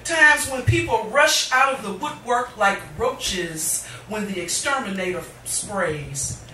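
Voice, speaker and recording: A middle-aged woman reading poetry live, with deliberate, dramatic phrasing and pauses. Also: mid-range voice, lively intonation, sharp tone, distant mic. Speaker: poet, featured reader. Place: indoor cafe reading. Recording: noisy, echoey room recorded from afar.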